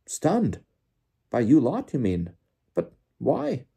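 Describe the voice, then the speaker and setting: A man voicing a flustered, excitable wizard official in an audiobook, breathless and full of exclaimed questions. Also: mid-range voice, slow pace, creaky, slightly rough, voice pushed up, heavily acted. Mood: startled, incredulous. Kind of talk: audiobook character voice.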